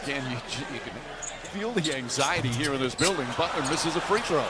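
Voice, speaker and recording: A man's voice: on the high side, expressive, a little fast. A man calling live basketball play-by-play in short, clipped descriptive phrases. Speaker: play-by-play sports commentator. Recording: loud noisy arena ambience behind.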